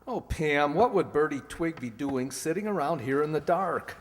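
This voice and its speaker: A man's voice: fairly high pitch, expressive, moderate pace, close to an everyday voice. A man playing a sceptical, patiently exasperated husband humouring his wife in an old-time radio mystery. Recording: echoey hall with faint noise.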